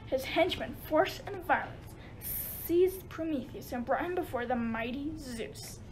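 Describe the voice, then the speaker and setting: A woman's voice: sits high, animated, measured pace. A woman narrating a radio play in scripted storytelling style. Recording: noisy amateur recording.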